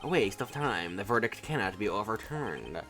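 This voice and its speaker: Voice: deep voice, very animated, normal speed, fairly bright tone, faint music behind. A streamer voicing a cold, haughty male prosecutor in a courtroom video game. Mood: dismissive.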